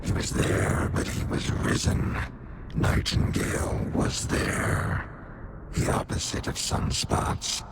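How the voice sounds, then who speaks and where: An actor voicing a cryptic, menacing presence in a horror game, in a breathy whisper and broken fragments. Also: slow pace, natural voice. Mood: eerie, menacing. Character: cryptic, menacing whispering voices from a dark place. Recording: loud noisy ambience over voice.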